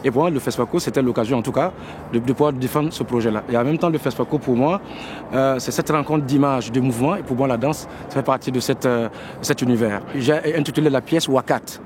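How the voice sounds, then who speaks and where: A man's voice: medium pitch, a little fast, background noise. A man speaks as a choreographer in a reflective interview, repeating words as he thinks.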